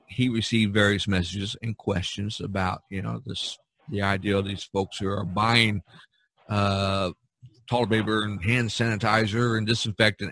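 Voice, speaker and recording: Male podcast host, rambling and easygoing, low voice, dry close sound. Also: a bit bright, dry recording. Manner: rambling, easygoing, laughs often. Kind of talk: casual chat among artists.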